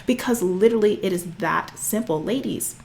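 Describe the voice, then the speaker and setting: A woman's voice: medium pitch, normal speed, vocal fry, darkish tone. A fitness coach in her forties speaks straight to the camera, sassy and preachy, calling her listeners honey and girl. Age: 40s. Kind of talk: direct-to-camera coaching talk.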